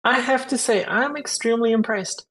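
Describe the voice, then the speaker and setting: Woman w southern african accent, higher voice, friendly podcast guest just chatting, good humoured. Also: normal speed, a bit bright. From Zimbabwe and South Africa. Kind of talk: casual chat.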